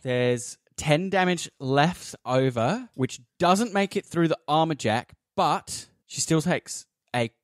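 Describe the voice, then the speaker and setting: A man's voice: a little high, expressive, takes it slowly, few pauses, no echo, studio quality. A man acting as game master, mixing scene narration and rules calls with casual table talk. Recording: music playing behind. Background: background music.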